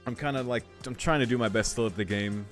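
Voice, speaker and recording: A man's voice: mid-range voice, fast, soft background music. A man casually reading and reacting to a visual novel as a let's play streamer, emotive and chatty. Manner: emotive, reactive, laughing asides. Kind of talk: casual game commentary and reading aloud.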